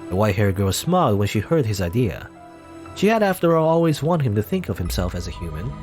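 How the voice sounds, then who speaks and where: A young man reads a gothic visual novel aloud with dramatic flair, his pitch swinging widely. He drifts into rambling asides. Faint game music runs underneath. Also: big pitch swings, quick pace, darkish tone. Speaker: let's player.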